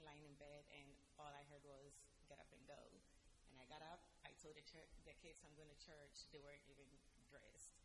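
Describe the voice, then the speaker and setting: A woman from Ghana giving an informal personal testimony in church, speaking in a halting, heartfelt way. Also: low voice. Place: church hall. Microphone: handed church microphone. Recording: noisy, reverberant church hall recording.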